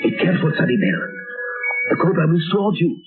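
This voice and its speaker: A man's voice: a little high, sing-song, over music. An actor playing a nervous, servile henchman, anxious and pleading, in 1940s radio style. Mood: pleading alarm. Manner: anxious, halting. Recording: tinny vintage radio recording.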